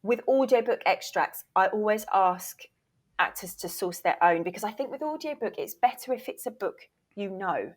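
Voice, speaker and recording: A woman's voice: medium pitch, lively intonation, moderate pace, breathy edge. A woman talking casually as an interview guest, explaining things in a candid, emphatic way and sometimes interrupting herself. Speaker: voice reel producer, interview guest.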